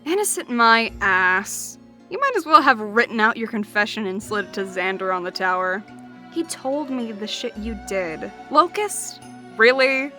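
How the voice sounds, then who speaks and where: A woman's voice: a little high, expressive, measured pace, pushed and bright. A woman playing a blunt, coarse-tongued young knight investigating murders in a fantasy audio drama. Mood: scornful, defiant. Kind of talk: fantasy audio drama acting and first-person narration. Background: music.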